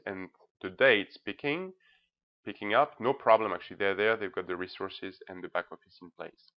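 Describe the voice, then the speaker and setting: Low-voiced man presenting, slightly muffled sound. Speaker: presenter, blogger reviewing banks' social media. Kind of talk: informal screencast-style presentation.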